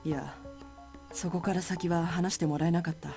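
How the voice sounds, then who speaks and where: An actress voices a blunt, composed woman from a visual novel. She talks very rapidly and solemnly in a lowish voice, with music behind her. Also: steady tone, mellow, close to an everyday voice. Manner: solemn, blunt, matter-of-fact. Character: stern, composed, blunt woman with police contacts. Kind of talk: Japanese visual novel voice acting.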